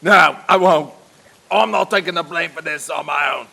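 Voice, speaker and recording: A man as a gravelly, sharp-voiced henchman. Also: on the high side, normal speed, full character voice. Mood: defiant confessing. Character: rough servant henchman, defiant accomplice turning informer. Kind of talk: audio theatre acting.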